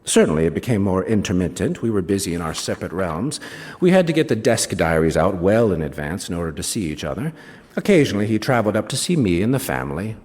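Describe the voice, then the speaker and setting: An actor playing a wry male British novelist, reading a first-person literary story in an expressive, savouring way with small asides. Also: low voice, quick pace. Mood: wry, confiding. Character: wry male British novelist recounting a rivalry with his friend. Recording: reverberant hall, stage reading.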